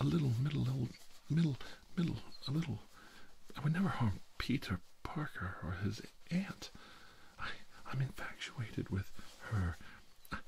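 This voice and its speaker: A man voicing a middle-aged mad scientist villain, grandiose and obsessive, muttering haltingly to himself in an ASMR roleplay monologue. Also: medium pitch, gravelly, darkish tone, slight background noise. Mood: troubled, halting.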